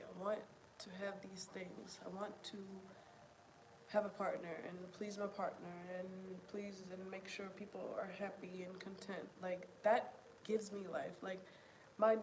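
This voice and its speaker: A soft-spoken woman talking reflectively to the camera at home, pausing to think and at times dropping almost to a whisper. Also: medium pitch, slight background noise, low-quality recording. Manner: pauses to think, drops to near whispers. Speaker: video journaler. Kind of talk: reflective casual talk to camera.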